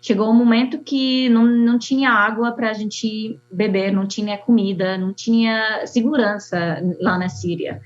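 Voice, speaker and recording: A young woman from Syria with an Arabic accent, an architect telling her story slowly and haltingly, with many fillers. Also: on the high side, steady tone. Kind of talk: personal testimony. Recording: dull lo-fi sound.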